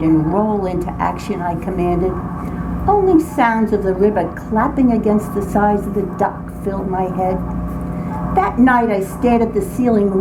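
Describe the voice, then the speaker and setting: An older woman reads her own humorous personal story aloud in an expressive, dramatic way, with emphatic phrasing. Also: mid-range voice. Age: older, grandmother. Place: indoors, writing group meeting room. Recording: very noisy small-group room recording. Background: clear background noise and room ambience.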